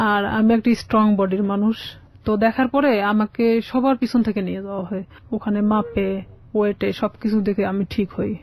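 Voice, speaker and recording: Fast-talking Bangladeshi woman, dull sound. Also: medium pitch, gravelly. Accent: Bangladeshi Bengali. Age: young adult. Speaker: guest telling their life story. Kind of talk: personal storytelling in a radio interview.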